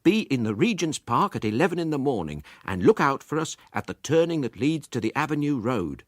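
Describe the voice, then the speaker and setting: A man voicing an agitated, urgent young male conspirator in a story, with an excitable, emphatic delivery. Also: mid-range voice, fairly quick, dry recording, clean studio sound, voice pushed up. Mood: brisk, conspiratorial.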